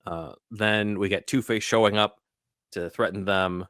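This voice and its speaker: A man with a very low voice hosts a casual film podcast. He explains things scene by scene in a halting, conversational manner. The sound is dry and close. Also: fairly even intonation, a bit bright, no echo. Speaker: podcast host.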